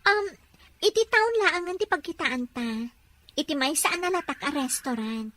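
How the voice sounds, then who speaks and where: A woman's voice: sits high, sing-song, normal speed, very noisy. An actress playing an evasive young woman juggling suitors in a radio drama. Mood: sweet, evasive.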